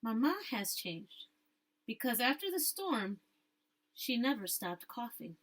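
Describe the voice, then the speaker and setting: Female teacher, higher-pitched, story read-aloud with questions for young listeners. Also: big pitch swings, unhurried, fairly bright tone.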